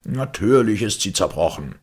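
A man's voice: fairly low pitch, lively intonation, normal speed, a little mellow, breathy edge. A man voicing a hot-tempered, scornful storybook twin, blustering and indignant. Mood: furious.

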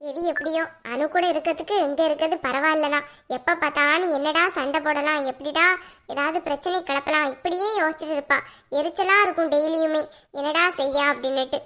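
An exasperated young mother in a family drama, acted by a woman, with a very high, bright voice. She talks bluntly and colloquially, like someone used to scolding. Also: fairly even intonation, a little fast. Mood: aggrieved, complaining. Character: exasperated young mother, scolding and threatening her little daughter.

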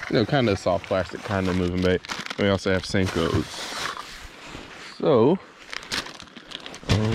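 Man, lowish pitch, casual fishing vlogger, easygoing rambling, outdoor kayak recording, noticeable background noise. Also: vocal fry. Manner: easygoing, rambling, thinking aloud. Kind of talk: casual chat to camera while fishing. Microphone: action camera mounted on the kayak or body. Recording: noisy outdoor action-camera audio, water and clicks.

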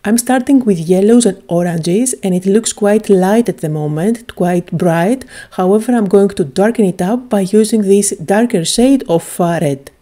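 A woman's voice: mid-range voice, normal speed, few pauses, warm tone. A woman from Greece, chattily and enthusiastically narrating a craft tutorial, with frequent little "uh" fillers.

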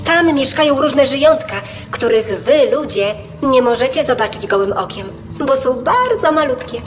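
Reassuring guide in long reverb. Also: female voice, high-pitched, expressive, moderate pace, background noise. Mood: explanatory. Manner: reassuring, explanatory. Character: calm, knowledgeable female guide of young explorers. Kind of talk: children's audio drama acting.

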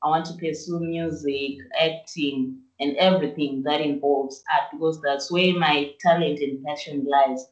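A young woman from Zimbabwe, a musician and actress, answering interview questions over a video call in an unhurried, soft-spoken way. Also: deep voice, fairly even intonation. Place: small room indoors. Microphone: video call webcam. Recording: distant, roomy, dull video-call audio.

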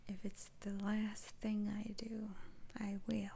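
Woman performing a soothing witch doctor, ASMR roleplay, breathy whisper, musing, soft dark tone, slightly creaky. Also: mid-range voice, monotone, takes it slowly, a little hiss or hum. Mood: reassuring, hushed. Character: female witch doctor, soothing, faintly sly curse remover.

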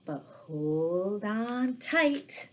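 Woman playing friendly male storybook horse, obliging, hearty, slow and drawn-out, faint background noise. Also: mid-range voice, sing-song, a little mellow. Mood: cheerful, obliging.

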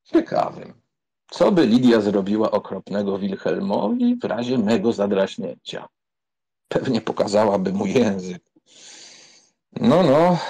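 A man's voice: mid-range voice, a little mellow, slightly rough, heavily acted. A man playing a cocky, sardonic young count in an audiobook drama, with an ironic, theatrical delivery. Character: cocky, sardonic young count and duelist, joking through pain.

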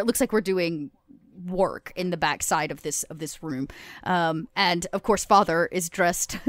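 A woman's voice: fairly low pitch, no room sound. A woman playing a tabletop roleplaying game, improvising actions in a chatty, informal way. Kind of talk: casual improvised game play.

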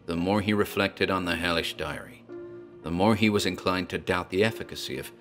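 A man's voice: low-pitched, brisk, fairly bright tone, faint music behind. A man narrating a horror tale in a theatrical, storytelling manner, as a tavern landlord.

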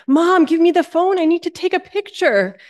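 An American woman voicing whining, excitable young children pestering their mother in a sermon anecdote, pleading and insistent. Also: very high voice, moderate pace, voice pushed up. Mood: excited pleading.

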